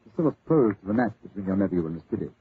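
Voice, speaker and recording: An actor playing an urbane, wry English gentleman detective in a 1940s radio drama, clipped and dryly amused. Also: fairly low pitch, sing-song, rapid-fire. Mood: wry, probing. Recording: tinny, noisy old-time radio recording.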